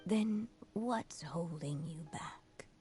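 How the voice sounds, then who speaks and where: An actress playing a gentle, solemn storyteller mother, a magical echo in a video game, speaking softly and tenderly. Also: lowish voice. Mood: tender, hopeful. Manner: soft, tender, measured, sometimes near-whispered. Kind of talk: scripted video game dialogue.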